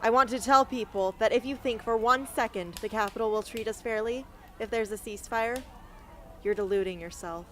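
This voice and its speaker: High-pitched actress as determined young female rebel, earnest and emphatic, radio play, faint noise behind. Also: brisk, fairly bright tone, close to an everyday voice. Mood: defiant, impassioned. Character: defiant young rebel heroine, wounded but determined. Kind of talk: amateur radio drama acting.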